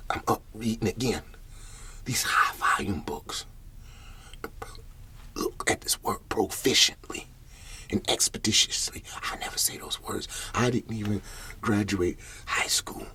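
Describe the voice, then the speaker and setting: A man playing a shaken, humble country farmer in an ASMR roleplay, speaking in a halting, breathy whisper and repeating his words. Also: on the low side, bright, forceful tone. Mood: confiding.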